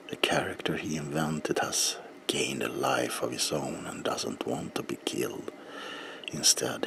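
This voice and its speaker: A man whispering slowly and deliberately in an ASMR roleplay as a politely attentive bookseller, with a breathy, low voice. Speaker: ASMR performer playing a bookseller.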